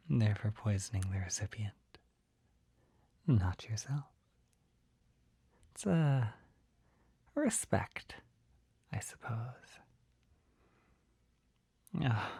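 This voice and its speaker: An actor voicing a shy, flustered, gentle poison-selling apothecary in a soft, breathy, hesitant whisper for an ASMR roleplay. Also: mid-range voice, dry recording, heavily acted. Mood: soft, intrigued, then flustered, embarrassed. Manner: hesitant, halting, apologetic, easily embarrassed.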